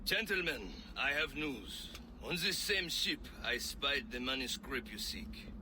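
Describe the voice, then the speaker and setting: A man's voice: medium pitch, lively intonation, a little slow, background noise, lightly acted. An actor playing a rugged male informant in a video game cutscene, reporting news in an earnest way. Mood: steady report.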